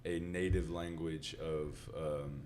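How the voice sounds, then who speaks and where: A man's voice: a bass voice, fairly even intonation, slow pace, a little mellow. A young man hosting a podcast in a casual, chatty style. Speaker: podcast host. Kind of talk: casual chat. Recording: clearly noisy background, clicks.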